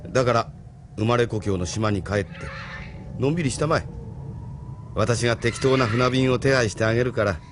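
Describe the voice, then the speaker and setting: A man acting a genial, teasing older gentleman with a courtly, knowing manner in a Japanese audio drama. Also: mid-range voice, normal speed, long pauses, noisy background, lightly acted. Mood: gently teasing.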